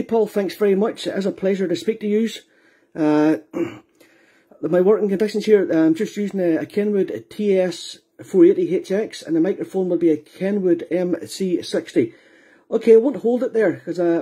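A Scottish man makes contact as an amateur radio operator. His voice is high for a man, very soft and dark, with a husky edge. He is polite and slightly hesitant, using procedural radio talk. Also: moderate pace. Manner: courteous, hesitant, spells out call signs. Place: indoor radio room.

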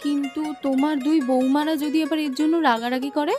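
Woman acting high-voiced, humble young village woman. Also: steady tone, fairly quick, darkish tone, soft background music, close to an everyday voice. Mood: hesitant. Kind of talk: children's cartoon voice acting.

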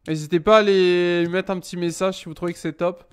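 A man's voice: high-pitched, level tone, fast talker, clean tone. A man in his thirties from France, joking with chat while playing a game at a desk mic, teasing and mock-indignant. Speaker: gaming streamer. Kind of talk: casual Let's Play commentary. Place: small room at home.

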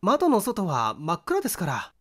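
A man's voice: sits high, wildly swooping pitch, moderate pace, fairly bright tone, exaggerated voice. A man voicing an earnest young male witness in a mystery audiobook, recounting events formally and in detail. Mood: earnest, thoughtful.

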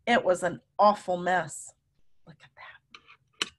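A woman in her fifties reading a story aloud to young children, with animated, drawn-out emphasis and questions to her listeners. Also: fairly low pitch. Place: room at home.

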